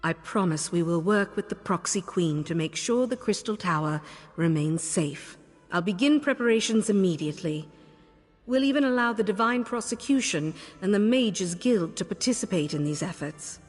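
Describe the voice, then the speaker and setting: An actress playing a proud, formal scholar and guardian in a fantasy game, speaking in a measured, dignified way. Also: female voice, medium pitch, lively intonation, close to an everyday voice. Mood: resolved, stern. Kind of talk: fantasy video game voice acting. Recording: long hall reverb, music behind.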